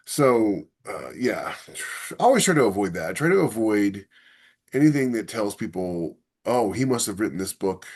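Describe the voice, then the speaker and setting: A man with a fairly low voice talks as a podcast co-host. His delivery is casual and conversational, and he argues his opinions with illustrative mock quotes. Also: husky. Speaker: podcast co-host and science fiction author.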